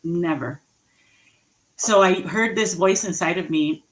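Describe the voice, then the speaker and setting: Slow, emphatic woman motivational speaker. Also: on the low side, low-quality recording. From Mexican heritage, raised in the US. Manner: slow, emphatic and repetitive, with laughs at herself. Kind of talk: inspirational speech.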